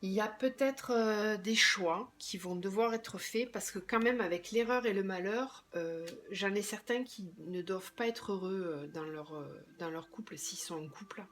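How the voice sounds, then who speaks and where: A slightly hoarse woman doing a tarot reading, thinking aloud hesitantly and often trailing off into a quieter voice. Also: medium pitch, moderate pace, hardly any pauses. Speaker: tarot card reader.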